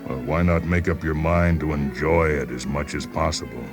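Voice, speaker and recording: A man playing a calm, reasoning doctor in a dramatized story, measured, hesitant and placating. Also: a bass voice, over music. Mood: patient, resigned. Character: calm, reasoning male doctor humoring a troubled patient. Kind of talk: dramatized short story reading.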